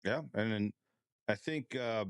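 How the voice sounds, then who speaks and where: A man in casual podcast conversation, speaking in hesitant, halting phrases as he hosts. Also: on the low side, clear, resonant tone, dry recording, studio quality.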